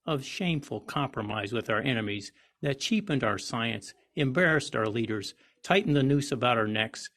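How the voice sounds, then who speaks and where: Male reader, mid-range pitch with plenty of fry, delivering an old call-to-action article like a deliberate orator. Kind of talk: oratorical read-aloud of a persuasive article.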